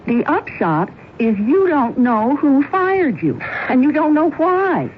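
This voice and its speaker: A woman's voice: high-pitched, big pitch swings, slow, full character voice. An actress playing a brusque, skeptical mature woman in a radio drama, with a dry, sardonic delivery. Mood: dry, impatient. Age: middle-aged. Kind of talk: 1970s radio drama acting. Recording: muffled, hissy old radio recording.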